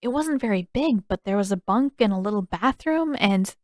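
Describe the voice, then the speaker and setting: A woman's voice: medium pitch, lively intonation, moderate pace, no echo, studio quality, close to an everyday voice. A woman voicing a caring but bossy teenage girl in an audiobook, emphatic and full of questions. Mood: indignant, insistent.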